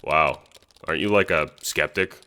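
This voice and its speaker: A man's voice: extremely low pitch, normal speed, pushed and bright, clear, resonant tone. A man playing a sarcastic, foul-mouthed teenage boy in an audio drama, talking in casual, hesitant slang. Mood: deadpan disbelief.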